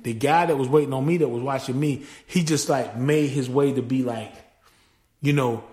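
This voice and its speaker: A man guesting on a podcast, telling stories in a loud, animated way full of street slang and acted-out voices. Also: mid-range voice, normal speed, reverberant. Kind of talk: casual podcast chat.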